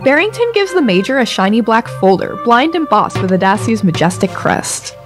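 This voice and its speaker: A woman's voice: a little high, very animated, normal speed, music in the background. A woman narrating a scripted audio drama in a wry storytelling style.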